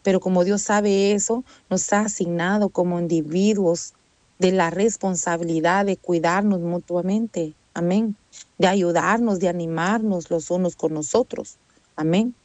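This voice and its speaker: A woman's voice: lowish voice, steady tone, measured pace, darkish tone, dry recording. A woman preaching a sermon in an earnest, conversational way, often adding "amén" and "¿verdad?". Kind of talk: Spanish-language sermon.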